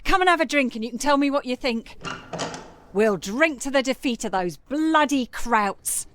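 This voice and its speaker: A feisty young street singer of Paris in an audio drama. Her voice is high, her talk earthy, blunt and defiant, with faint noise behind it. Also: animated, normal speed, fairly bright tone.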